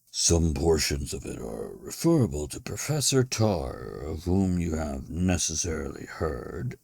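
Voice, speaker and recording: A man putting on a pompous, genial madhouse host, low and slow. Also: vocal fry, a little mellow, no echo, clean studio sound, exaggerated voice. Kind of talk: dramatic storybook reading.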